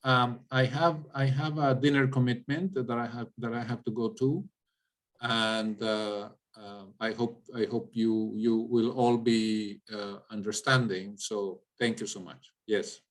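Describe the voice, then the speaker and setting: A man's voice: mid-range voice, steady tone, a little slow. A man from Latin America, an economist giving an informal explanatory lecture and often checking in with listeners. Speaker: economist, guest speaker. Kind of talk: informal lecture with audience questions.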